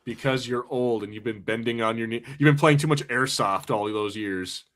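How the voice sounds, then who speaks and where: A man's voice: mid-range voice, normal speed, fairly bright tone. A man in his thirties co-hosting a podcast, chatting loosely, rambling, and reading out viewer comments. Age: 30s. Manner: rambling, easy-going, laughs often. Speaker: podcast co-host. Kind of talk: casual podcast banter, reading chat comments.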